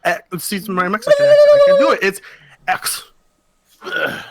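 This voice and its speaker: A man's voice: high voice, wildly swooping pitch, moderate pace, a little hiss or hum. A man from Oregon co-hosting a casual podcast chat, rambling and restarting his sentences with frequent laughs. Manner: rambling, self-interrupting, laughs a lot. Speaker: podcast co-host.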